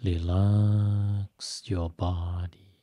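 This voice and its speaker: Very slow, dark-voiced man guiding meditation. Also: low-pitched, little pitch movement, no echo. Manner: slow, gentle instructions in short phrases with long pauses. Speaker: Buddhist monk, meditation teacher. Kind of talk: guided meditation.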